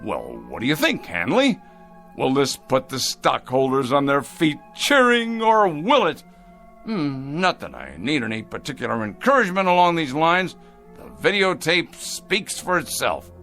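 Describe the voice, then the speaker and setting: A smug male corporate boss in a dramatic production, obsessed with progress. His voice is bright and forceful, and it swings dramatically up and down with pompous condescension. Faint music sits behind him. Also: a little high, wildly swooping pitch, measured pace, exaggerated voice. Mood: smug, prodding. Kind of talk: dramatic radio-play style acting.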